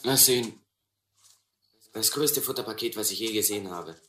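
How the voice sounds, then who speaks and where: A man's voice: on the low side, normal speed, fairly bright tone, reverberant, close to an everyday voice. A young man playing a restless, carefree vagabond in a German radio drama. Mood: eager.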